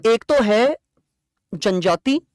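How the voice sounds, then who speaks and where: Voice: medium pitch, lively intonation, drawn-out words, no room sound. Exam teacher, close dry sound. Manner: asks rhetorical questions and answers them; conversational, repeats key points, checks understanding. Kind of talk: explanatory classroom lecture.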